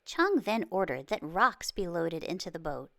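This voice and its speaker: A woman narrating a children's storybook in an expressive, careful read-aloud delivery. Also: fairly low pitch, normal speed, gravelly, no echo, clean studio sound. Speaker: children's book reader.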